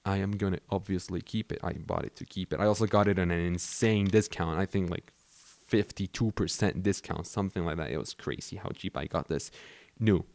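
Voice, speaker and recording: Low-voiced young man talking cameras. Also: brisk. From Mexico. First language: Spanish. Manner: rambling, relaxed, many fillers and restarts. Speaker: vlogger. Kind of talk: casual talk about his camera gear.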